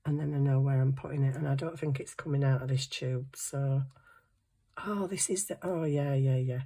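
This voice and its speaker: Hoarse low-voiced woman crafting. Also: steady tone, normal speed, dark tone. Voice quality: croaky. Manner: chatty, thinks aloud while working. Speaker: craft vlogger. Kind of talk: casual crafting tutorial chat.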